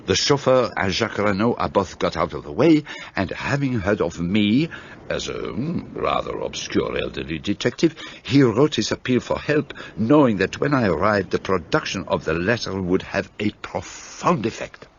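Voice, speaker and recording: A man's voice: deep voice, big pitch swings, moderate pace, gravelly, heavily acted. An actor playing an elderly Belgian detective with a French-tinged Belgian accent, precise and theatrical, explaining his deductions. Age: older. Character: fussy elderly Belgian male detective, self-assured. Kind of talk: radio play acting. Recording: dull lo-fi recording, faint hiss.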